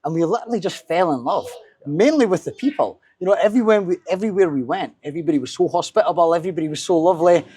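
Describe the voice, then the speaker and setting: A man's voice: on the high side, very fast, mellow, slight background noise. A Scottish man, a street interviewee who tells his story with enthusiasm. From Scotland. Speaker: interviewee, online fitness coach.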